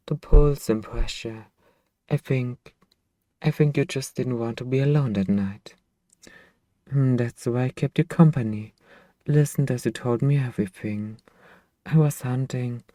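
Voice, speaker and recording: Slow, hushed vampire lover acted by a man. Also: mid-range voice, no echo, natural voice. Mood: amused, nostalgic. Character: two-hundred-year-old male vampire master, tender, possessive boyfriend. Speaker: ASMR voice actor. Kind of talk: intimate ASMR roleplay monologue.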